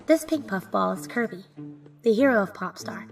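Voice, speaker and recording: A woman's voice: mid-range voice, expressive, moderate pace, gravelly, loud music behind the voice. A woman giving scripted first-person narration for an audio drama.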